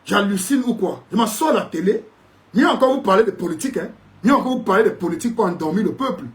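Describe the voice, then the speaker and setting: A man with a Cameroonian French accent delivers an indignant, preachy rant. His voice sits high for a man, and he turns sarcastic, mixing in mocking English. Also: lively intonation, fast, a bit bright. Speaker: commentator. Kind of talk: ranting video commentary.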